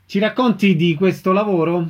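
A man's voice: high voice, steady tone, normal speed. A man hosting a radio programme, presenting and putting questions to guests in an interview style. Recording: slightly dull lo-fi radio audio.

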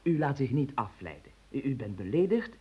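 A man's voice: mid-range voice, sing-song, moderate pace, warm tone, reverberant, lightly acted. An actor playing a mocking, playful man who trades absurd banter in a radio play.

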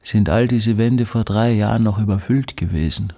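An actor playing an obsessive, embittered male recluse in a radio drama monologue, brooding and insistent in halting phrases, with a breathy voice. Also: lowish voice, dark tone. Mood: bleak, emptied out. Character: obsessive, embittered recluse, a man devoted to his study.

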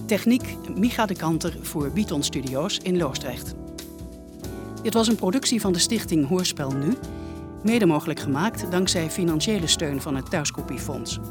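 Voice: medium pitch, lively intonation, moderate pace. An announcer reading scripted closing credits for a radio play. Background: music.

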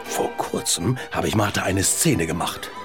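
A man acting in a radio play as a formal, stuffy, easily affronted gentleman given to scoffing. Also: low voice, expressive, normal speed, music in the background. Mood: formal, reflective. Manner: formal, amused scoffing turning indignant.

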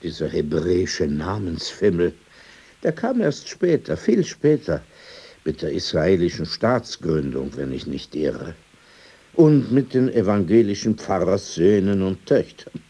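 An actor playing an older, pedantic, courteous gentleman in a German radio play, speaking in short, emphatic phrases. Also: sits low, very animated, breathy edge, full character voice. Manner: halting short phrases, formal politeness, emphatic. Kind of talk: radio play dialogue and storytelling.

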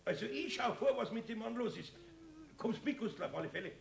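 A man playing a nosy, dutiful Bavarian card player in a radio play, excitable and insistent. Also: very high voice, fairly quick, gravelly, heavily acted. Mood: curious, insistent. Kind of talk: 1970s radio play acting.

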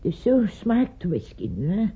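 An actress playing a weary older wife in a radio play, brooding, fearful and haunted by guilt. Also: female voice, mid-range voice, very animated, measured pace, dark tone, husky, full character voice. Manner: brooding, anxious. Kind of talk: radio play acting in Dutch. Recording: muffled old radio recording.

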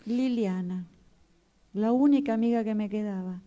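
A woman's voice: medium pitch, normal speed, mellow, lightly acted. A woman acting in a radio drama as a desperate, self-pitying client with a Rioplatense Argentine accent, telling her woes dramatically. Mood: aggrieved, wistful. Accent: Rioplatense Argentine Spanish. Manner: dramatic, complaining storytelling.

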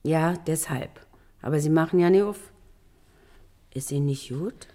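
An actress playing a nosy, good-hearted neighbour and working mother in a German radio drama, chatty and colloquial, with a husky voice. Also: low voice, moderate pace, dark tone, close to an everyday voice. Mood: earnest, concerned. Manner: chatty, colloquial, hemming before getting to the point.

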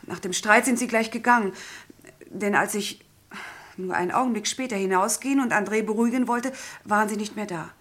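An actress playing a polite, composed young laboratory assistant giving careful, slightly hesitant testimony in a vintage radio crime drama, with a husky voice. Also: female voice, mid-range voice, brisk, a bit bright, lightly acted. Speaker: actor in a crime radio play.

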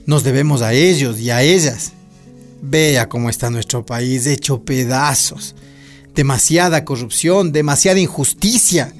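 A man's voice: mid-range voice, a little slow, faint music behind, natural voice. A man playing an idealistic, witty mayoral candidate being interviewed in a radio drama, folksy and earnest. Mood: impassioned.

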